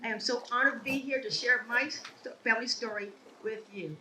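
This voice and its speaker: A woman giving a prepared speech at a ceremony, telling her family's history in a measured, earnest way. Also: a little high, bright, forceful tone, far from the mic, slight background noise, poor audio. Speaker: ceremony speaker. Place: outdoor ceremony.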